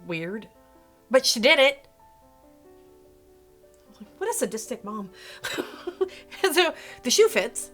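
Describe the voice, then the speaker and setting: A woman hosting a video and telling a story casually and comedically, in an animated, sarcastic manner with playful asides and self-interruptions. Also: on the high side, slow pace, pauses often, faint music behind.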